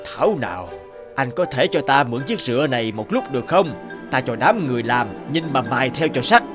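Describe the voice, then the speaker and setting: A man voicing a fat, wealthy, scheming cartoon landlord in a pompous, taunting, drawn-out way. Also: mid-range voice, very animated, over music, natural voice. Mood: sly. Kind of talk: cartoon dialogue acting.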